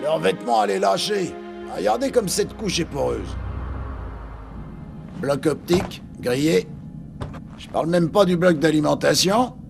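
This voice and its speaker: Casting: scolding older army technician, high-pitched creaky voice, brisk and exasperated, game cutscene. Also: male voice, lively intonation, full character voice. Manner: brisk, griping. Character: grumbling older male military armourer, gruff, scolding. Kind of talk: French video game dubbing. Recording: music behind the dialogue.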